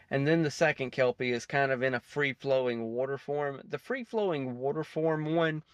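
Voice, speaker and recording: Male reaction host, slightly high-pitched, casual and rambling, dry recording. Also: no echo. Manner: rambling, self-interrupting. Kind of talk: casual off-the-cuff commentary.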